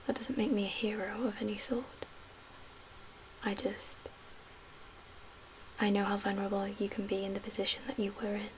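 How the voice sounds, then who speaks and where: Woman, soft whisper, breathy, even flat intonation, calm guarded camp medic roleplay, ASMR, background hiss. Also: medium pitch, normal speed, long pauses, poor audio. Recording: audible background hiss and ambience.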